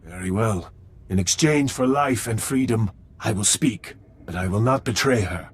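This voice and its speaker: A proud alien zealot for a video game, with a husky voice. He declaims solemnly and formally, like one sworn to a cause, defiant and utterly loyal. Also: fairly low pitch, expressive, moderate pace. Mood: solemn, resigned.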